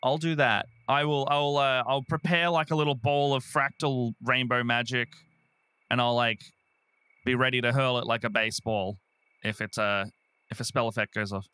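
A man's voice: medium pitch, steady tone, moderate pace, pushed and bright, smooth, dry recording. A man playing a tabletop game, chatting casually about his moves.

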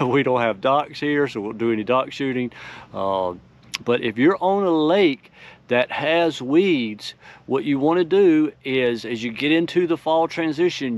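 A man's voice: mid-range voice, muffled recording. A middle-aged man hosting a fishing video from a boat on a lake, talking casually with many uhs and ums. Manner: relaxed, filler-heavy explaining with many uhs. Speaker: fishing channel host. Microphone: action camera on the boat.

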